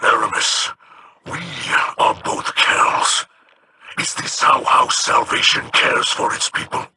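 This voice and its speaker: A grave alien pirate lord. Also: male voice, slow pace, sharp tone. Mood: solemn. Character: noble alien pirate captain, grave and earnest.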